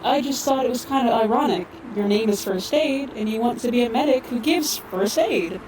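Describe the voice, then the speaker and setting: A woman's voice: a little high, animated, moderate pace, darkish tone, far from the mic, a little hiss or hum, natural voice, low-quality recording. A woman playing a friendly, helpful robot medic in an audio drama, with an easygoing conversational manner. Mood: friendly, teasing. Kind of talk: fan audio drama acting.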